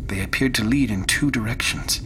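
An actor playing a haunted explorer in a radio horror drama, narrating a terrifying ordeal with intense, deliberate and sometimes hushed phrasing. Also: male voice, medium pitch, natural voice, poor audio. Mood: hushed dread. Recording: clear background noise and ambience.

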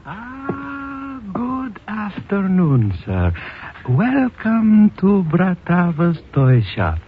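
An actor playing an elderly, courteous but guarded toy shop owner in a 1950s radio drama, halting and formally polite, on a tinny old recording. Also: male voice, high-pitched, sing-song, a little hiss or hum, full character voice.

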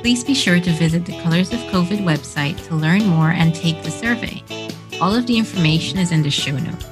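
A woman hosting a podcast, upbeat and quick to laugh as she interviews and closes the show. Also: fairly low pitch, lively intonation, music in the background. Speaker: podcast host. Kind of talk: casual interview, show outro.

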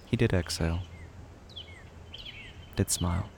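A man narrates a horror story in a low, creaky voice. He speaks in terse, deliberate fragments, placing each one carefully, with some noise behind him. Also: darkish tone. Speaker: narrator of a horror audio drama. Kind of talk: scripted narration in short clipped fragments.

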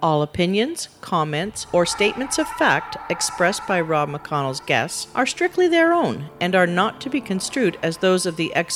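A woman announcer reading a formal scripted disclaimer, with music behind her. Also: lowish voice.

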